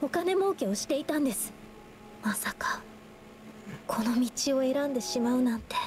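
High-voiced gentle young detective girl. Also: lively intonation, moderate pace. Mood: sober, sad. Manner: soft, polite, careful explaining. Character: gentle, earnest young woman painter turned amateur detective. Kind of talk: Japanese anime game voice acting. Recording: game dialogue over music.

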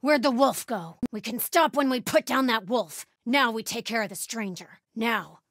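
An actress playing a grim, vigilant cultist hunter in a video game, giving terse callouts in a husky voice. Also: female voice, a little high, normal speed, fairly bright tone, dry recording, clean studio sound. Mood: grim resolve. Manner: clipped, terse. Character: grim, vigilant female cultist hunter stalking her enemies.

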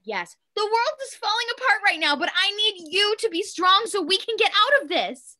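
A young woman acts an exasperated captive daughter in a stage play read over video call. Her voice is high and bright and swings widely in pitch. She is sarcastic, foul-mouthed and pleading. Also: expressive, normal speed, exaggerated voice. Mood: urgent, pleading. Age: 20s. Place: home kitchen, indoors.

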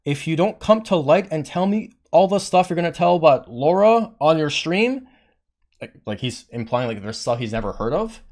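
A man's voice: a little high, moderate pace. Agitated man ranting to his stream. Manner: heated, petty, swearing, quotes others in raised voice; says he has a monotone voice.

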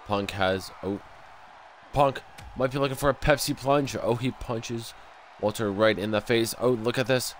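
A young man with a stuffy nose doing excitable play-by-play commentary over a wrestling video game, breaking into loud exclamations, recorded at home on a desk mic. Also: mid-range voice, normal speed, slight background noise. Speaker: gameplay commentator.

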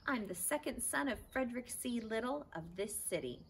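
A woman voicing a small, plucky young male mouse sailor in a children's storybook, crisp and bold. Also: a little high, lively intonation, normal speed, voice pushed up. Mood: eager, loyal. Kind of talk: children's storybook read-aloud. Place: outdoors in a backyard garden. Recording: outdoor ambient noise.

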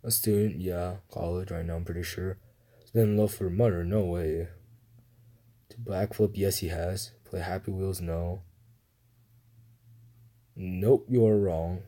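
Guy mumbling through a phone quiz game, real dark mellow tone, slow and low. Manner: mumbled, low-key answers, livelier when starting a new round. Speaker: gamer playing a guessing game. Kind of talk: screen-recorded commentary, reading questions aloud. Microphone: phone screen recorder.

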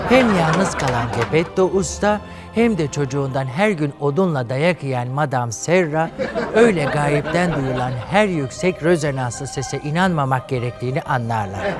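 A man hosting a comedy show, telling a fairy tale in a flamboyant, coy campy way. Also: on the high side. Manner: flamboyant, coy, addresses audience as "lambs". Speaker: host and storyteller. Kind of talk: campy comic storytelling. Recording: studio audience and music behind.

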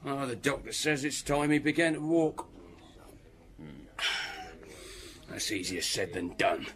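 Village butcher for radio play: a weary, mocking drinker, surly, mid-pitched. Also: male voice, very animated, measured pace, a bit bright. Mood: sour, mocking. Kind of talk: radio drama acting.

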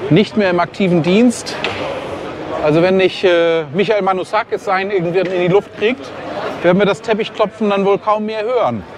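A man's voice: high-pitched, steady tone, moderate pace. A man from Germany chatting casually to his viewers as he walks around a busy outdoor racetrack event, often ending his sentences with a questioning "ne?". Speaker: vlogger. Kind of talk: casual walk-and-talk vlog. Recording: outdoor noise and crowd.

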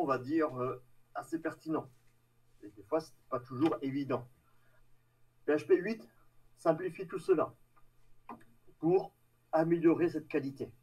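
A man giving a live technical presentation in a halting, hesitant way, trailing off between phrases. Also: on the high side, warm tone, husky. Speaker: tech meetup presenter. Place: online video meeting with screen share. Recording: distant mic on online call.

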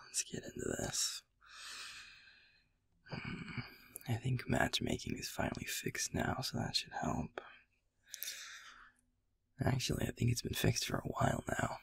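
A man acting as a sleepy young werewolf boyfriend in an intimate audio roleplay. He mumbles in a slow, gravelly, husky voice full of fry. He is affectionate, self-deprecating and hesitant, chatting casually like a gamer at home. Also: medium pitch, wildly swooping pitch, a bit bright, no echo, full character voice. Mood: relaxed, musing. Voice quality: breathy, husky, gravelly half-whisper. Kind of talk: intimate roleplay ASMR, murmured ad-lib gamer chat.

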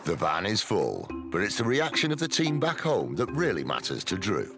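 A deep-voiced man delivers scripted documentary narration with very animated rises and falls. Music plays underneath his voice. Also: normal speed.

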